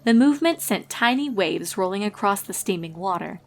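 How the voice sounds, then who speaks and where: A woman's voice: medium pitch, animated, normal speed, slight background noise. A woman reading fan fiction aloud as a scripted story narrator.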